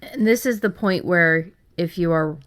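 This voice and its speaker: A woman's voice: lowish voice, measured pace. A woman hosting a casual discussion, explaining things in a conversational way. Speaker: host.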